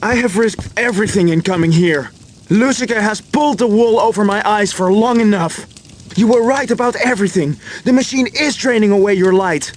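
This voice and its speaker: Man acting a high-voiced remorseful inventor, game cutscene. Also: brisk, a little hiss or hum, lightly acted. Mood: grave, urgent. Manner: earnest, apologetic. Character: remorseful male inventor who betrayed his friends.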